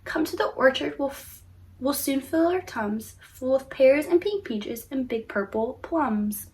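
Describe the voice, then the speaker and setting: A woman's voice: high voice, expressive, a little slow, darkish tone, distant mic, slight background noise, rough recording. Eager young knight James, read by a woman. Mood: fussing. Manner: eager, fussing, caring. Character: kind-hearted young boy knight.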